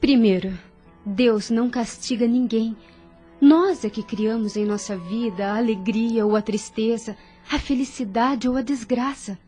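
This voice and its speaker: A woman playing a devout, caring young woman at a sickbed in an audio drama, gentle, earnest and consoling. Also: fairly high pitch, a little slow, husky. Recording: soft music behind voice.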